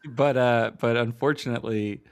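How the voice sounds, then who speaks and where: A man's voice: medium pitch, fairly even intonation, normal speed, clean tone, no room sound, clean studio sound. A man co-hosting a podcast with casual, jokey commentary and informal banter. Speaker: podcast co-host.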